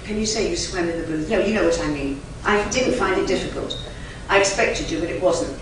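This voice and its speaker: A woman whose first language is German, a conference interpreter giving an emphatic, chatty lecture to a live audience with lively asides. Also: lowish voice, fairly quick. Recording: very echoey hall, from far off, faint noise.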